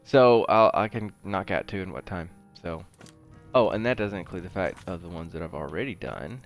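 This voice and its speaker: Deep-voiced middle-aged man chatting over gameplay. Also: animated, moderate pace, soft background music. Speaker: gaming show host. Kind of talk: casual rambling gameplay commentary. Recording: faint background noise.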